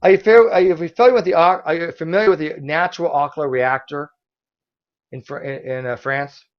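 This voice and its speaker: A hoarse man with a somewhat high voice argues back fast, stumbling over restarts as he corrects his opponent. The sound is dull and lo-fi. He hosts a heated online debate. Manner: forceful, quick rebuttals with restarts. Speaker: debate host.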